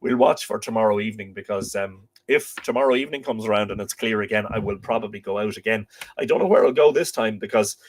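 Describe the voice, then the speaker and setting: A middle-aged man from Ireland, croaky from a chest infection, chatting casually as host of a live stream and rambling with lots of fillers and restarts. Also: fairly low pitch, quick pace, slight background noise.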